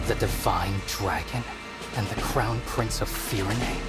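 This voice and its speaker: An actor playing an anxious young prince in a video game cutscene, speaking formally. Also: male voice, fairly low pitch, unhurried, loud music behind the voice, lightly acted. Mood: stern, threatening. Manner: formal, self-deprecating. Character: anxious, apologetic young prince, stern when guarded.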